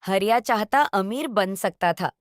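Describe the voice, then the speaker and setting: A woman's voice: mid-range voice, unhurried. A woman telling a story as narrator, reading scripted narration. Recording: faint background noise.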